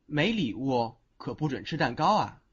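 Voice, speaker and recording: A man playing a lively, tender young tease. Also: mid-range voice, moderate pace, breathy edge. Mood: teasing. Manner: playful, teasing. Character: teasing, protective young man with secret tenderness. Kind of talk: Chinese audio drama acting. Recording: dull lo-fi audio with music.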